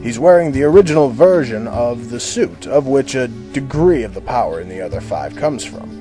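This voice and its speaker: A man playing a proud, composed chief of an elite unit in an audio drama, speaking in a self-assured, measured way. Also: medium pitch, animated, dark tone, faint music behind, close to an everyday voice. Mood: assured, explanatory. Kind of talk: amateur audio drama acting.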